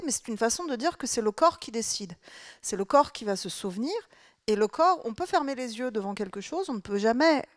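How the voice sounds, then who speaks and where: A French-born woman speaks at a live literary talk. Her voice sits at an ordinary middle pitch, and the sound is dry and close. She reflects aloud in long, winding sentences, pausing on fillers as her thoughts unfold. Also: no echo. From France. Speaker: writer and guest speaker. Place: public talk on stage.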